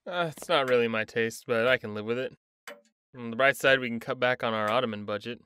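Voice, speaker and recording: A man's voice: medium pitch, brisk, sharp tone, natural voice. A man acting as a dry, skeptical husband and father in an audio drama. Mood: dry, easygoing. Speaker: house buyer. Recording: faint background noise.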